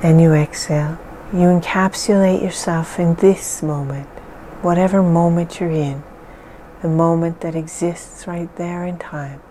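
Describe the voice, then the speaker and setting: A woman leading a guided hypnosis session in a slow, soothing, measured way with long pauses. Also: on the low side, steady tone, warm tone, slight background noise.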